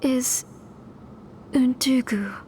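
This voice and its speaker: An actress playing a middle-aged mother consumed by grief and guilt, speaking haltingly and breaking off mid-phrase. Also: high-pitched, warm tone, a little hiss or hum. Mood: grieving. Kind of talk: video game dub.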